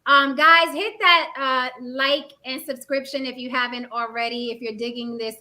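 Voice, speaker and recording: A woman's voice: sits high, fairly even intonation, normal speed, pushed and bright. Upbeat woman hosting a live stream. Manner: upbeat, chatty, filler-heavy.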